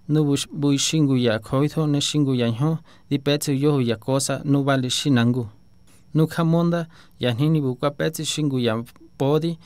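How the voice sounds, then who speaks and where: A man reading an opening message aloud in a measured, recited cadence. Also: fairly high pitch, darkish tone, clear, resonant tone, dry recording. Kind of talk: formal reading in Otomi.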